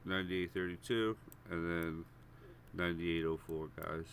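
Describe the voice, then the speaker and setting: A man's voice: low voice, level tone, a little slow, a little hiss or hum. A man with a stuffy head cold hosts a live stream, casually walking viewers through chart levels and price targets. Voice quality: congested, nasal. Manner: casual, repetitive, addresses viewers as guys. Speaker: stock trading streamer. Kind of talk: live premarket chart walkthrough.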